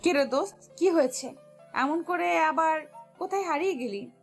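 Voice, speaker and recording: A woman's voice: very high-pitched, moderate pace, voice pushed up. A woman voicing a playful, teasing young woman in a romantic audio story. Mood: playful concern. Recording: music bed behind voice.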